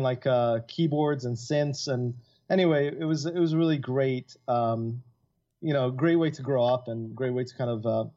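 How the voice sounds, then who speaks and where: A man's voice: medium pitch, a little mellow. A man who grew up in Italy, chatting as a guest composer in a casual interview, rambling with many fillers and restarts. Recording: dull lo-fi sound.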